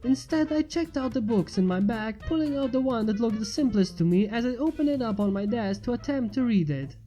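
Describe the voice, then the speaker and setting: A woman's voice: on the high side, expressive, a little fast, mellow. A woman reading a first-person fan fiction story aloud as its narrator. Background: faint music.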